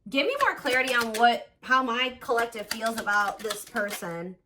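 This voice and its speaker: A woman's voice: on the high side, moderate pace, pushed and bright, a little hiss or hum. A woman giving a casual tarot reading, thinking aloud with lots of fillers and restarts. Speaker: tarot reader.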